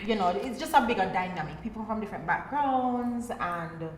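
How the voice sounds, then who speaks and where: A woman's voice: mid-range voice, lively intonation, brisk. A Jamaican woman chatting casually as a performer on a group podcast. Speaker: pantomime performer, podcast guest. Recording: echoey room, faint noise.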